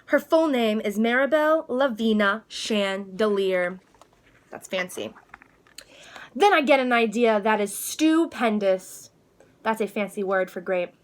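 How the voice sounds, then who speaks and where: A woman reading a children's storybook as an excitable, precocious little girl who adores being fancy, with a dramatic manner. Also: on the high side, measured pace. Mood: eager. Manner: dramatic, precocious, proudly explaining fancy words. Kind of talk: children's storybook read-aloud.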